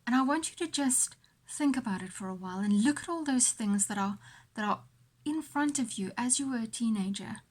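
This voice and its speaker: Woman, fairly high voice, husky and breathy, guided visualisation, deliberate phrasing with pauses and repetition. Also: expressive. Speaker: host leading a guided visualisation. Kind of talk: guided visualisation, motivational coaching.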